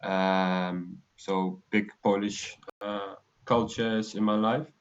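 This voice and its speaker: A man in his late twenties from the Netherlands, a guest on a video call, talking in a relaxed, hesitant way with many fillers. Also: fairly low pitch, takes it slowly. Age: 20s. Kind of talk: casual interview chat. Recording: slightly dull lo-fi stream audio.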